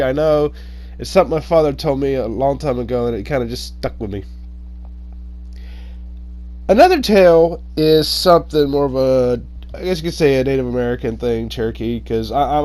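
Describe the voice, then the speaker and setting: Male storyteller, slightly high voice, unhurried and hesitant, informal folk-tale telling with self-corrections. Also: darkish tone. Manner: halting, hesitant delivery with frequent pauses and self-corrections. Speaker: online storyteller.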